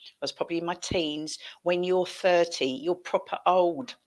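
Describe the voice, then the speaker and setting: A woman's voice: lowish voice, moderate pace. A middle-aged British woman hosting a casual chat on a video call, encouraging and emphatic, repeating phrases for effect. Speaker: show host. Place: home room, indoors. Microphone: headset, video call.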